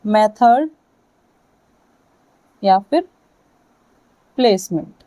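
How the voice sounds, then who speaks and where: A woman with an Indian accent lecturing to students, explaining and dictating key points in halting, deliberate phrases with frequent check-ins. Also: mid-range voice, animated, dark tone. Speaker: physiotherapy lecturer.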